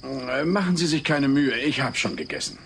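A man's voice: mid-range voice, moderate pace, close to an everyday voice. An actor playing a baffled, polite man with an incredulous manner in a dubbed sitcom audio play. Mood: politely evasive. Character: baffled, drawling, polite male Elvis-lookalike collector. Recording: noisy old cassette transfer.

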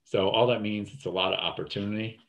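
A man's voice: on the low side, fairly even intonation, fast, fairly bright tone. A man with a New Jersey accent hosting a webinar over a video call, talking loosely with lots of fillers. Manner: loose, rambling, repeats fillers. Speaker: webinar host. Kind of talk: casual webinar talk.

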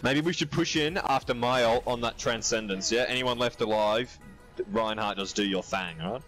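A man's voice: medium pitch, moderate pace, fairly bright tone, soft background music. A teenage boy chatting in a game voice chat, cocky and foul-mouthed, on a headset. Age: teens. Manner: cocky, swearing, banter. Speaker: gamer in voice chat.

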